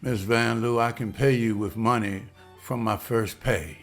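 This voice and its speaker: An earnest, respectful young husband, as a man reads him who speaks with polite deference at a mid pitch. Faint music plays quietly behind him. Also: steady tone, measured pace, lightly acted. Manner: earnest, respectful, says ma'am. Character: William, a hopeful, polite young husband and waiter. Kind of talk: amateur table read.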